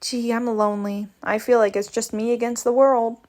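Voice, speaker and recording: A woman reading a comic book aloud in a lively way, changing her voice for each character. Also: fairly high pitch, normal speed. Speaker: read-aloud narrator.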